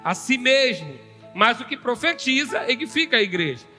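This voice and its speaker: Bright high-voiced male preacher. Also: very animated, moderate pace, in a large hall, soft background music. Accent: Brazilian Portuguese. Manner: teaching, calling for "amém" from the congregation. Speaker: pastor teaching a seminar. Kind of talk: sermon.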